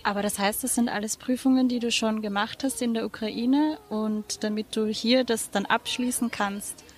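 A woman hosting a radio programme, reading a measured scripted introduction and interviewing a guest. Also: fairly high pitch, steady tone. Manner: clear, measured delivery.

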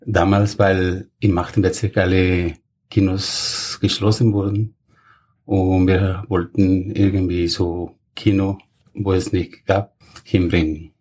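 Deep husky man from Guatemala. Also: level tone, takes it slowly, a little mellow. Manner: hesitant, with fillers. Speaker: festival director, studio guest. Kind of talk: radio interview. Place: radio studio.

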